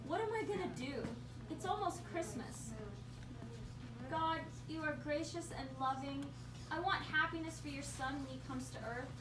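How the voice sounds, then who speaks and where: A kind Christmas angel with a high, clear voice, as a woman plays her. She talks in an unhurried storytelling way. She sits far from the mic in an echoey room, with background hiss. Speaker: puppeteer voicing a Christmas angel host. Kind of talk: amateur puppet show.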